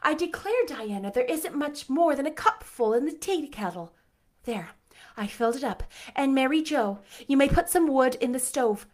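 An eager, imaginative young girl, put on by a woman reading a storybook aloud, talking quickly and earnestly in a high, bright voice. She is chatty and fond of grand words. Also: expressive. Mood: brisk, confident. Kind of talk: audiobook character voice.

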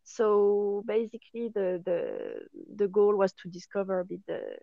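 A woman's voice: mid-range voice, huge pitch swings, unhurried, creaky, no room sound. A young woman with a French accent, chatting as an interview guest about her work, hesitant and full of fillers. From France. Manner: hesitant, many fillers and restarts. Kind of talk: casual interview chat. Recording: dull lo-fi sound.

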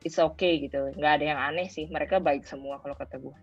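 A young woman with a Jakarta Indonesian accent talks casually as an interview guest. Her voice sits low for a woman, and she drops English phrases into her speech. The audio is dull and lo-fi, with faint music underneath. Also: steady tone, quick pace, breathy edge. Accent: Indonesian, Jakarta colloquial. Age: young adult. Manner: informal, mixes in English phrases. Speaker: guest, former overseas student.

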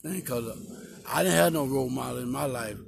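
A man in his sixties with a Texan accent, telling his life story as a guest in slow, drawn-out speech outdoors. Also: mid-range voice, vocal fry. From Texas. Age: 60s. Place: outdoors in a park. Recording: noisy outdoor recording.

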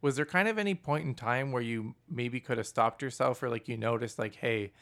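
A man hosting a casual interview, with a booming voice and a loud laugh, asking long, searching questions full of fillers. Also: fairly quick. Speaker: podcast host.

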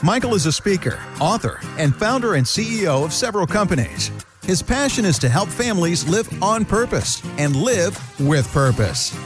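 A man reading a scripted show introduction in a measured announcer manner. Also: sits high, big pitch swings. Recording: voice-over with music bed.

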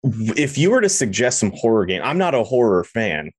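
A man's voice: on the low side, big pitch swings, normal speed, slightly rough, no room sound. A man hosting a podcast, interviewing in a casual, conversational way.

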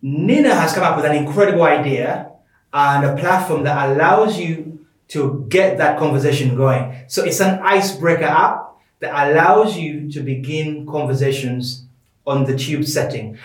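A man's voice: on the high side, moderate pace. A man hosting a podcast in an informal, colloquial, conversational way. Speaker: podcast host. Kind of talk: conversational introduction and interviewing. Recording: roomy echo, distant from microphone.

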